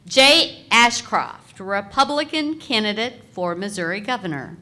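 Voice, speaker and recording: A woman announcing candidates by name at a forum, slow and deliberate, in an event hall. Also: medium pitch, lively intonation, noisy background. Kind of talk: formal introductions.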